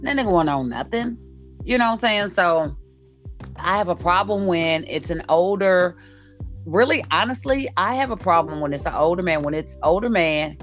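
A woman's voice: fairly low pitch, animated, normal speed, fairly bright tone. A woman in her late forties hosting a casual conversation, blunt and emphatic, repeating her points for emphasis. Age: late 40s. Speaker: podcast host. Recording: dull lo-fi audio, music behind.

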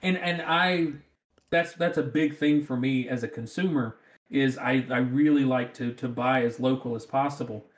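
Man, rural Southern American accent, casual chat, mid-pitched voice, echoey room sound. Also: normal speed. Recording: roomy, echoing sound.

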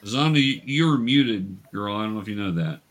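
A man's voice: on the low side, expressive, normal speed. Male game master, improvised narration, patient explainer who encourages the players.

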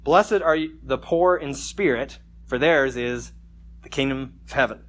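A man's voice: mid-range voice, unhurried. A man preaching a conversational sermon at a church service, joking casually and reading scripture with emphasis. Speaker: pastor.

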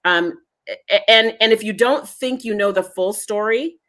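A woman's voice: medium pitch, normal speed, fairly bright tone. Earnest woman who repeats key points. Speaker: genealogy foundation guest. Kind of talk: conversational interview.

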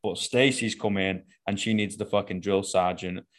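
A very deep-voiced man with a Northern English accent talks casually in a podcast chat. He is a fitness coach, blunt and profane, and keeps checking in with "right?" and "man". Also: fairly even intonation, moderate pace, a bit bright. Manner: blunt and profane, says "right?" and "man" after almost every point.

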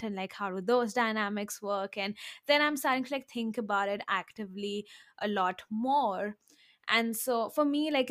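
A woman's voice: mid-range voice, a bit bright, no room sound, clean studio sound. A woman hosting a podcast, chatting in a reflective, casual way and often saying "like". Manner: reflective, frequent "like" fillers. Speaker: podcast host. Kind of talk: casual conversational interview. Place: in Minnesota.

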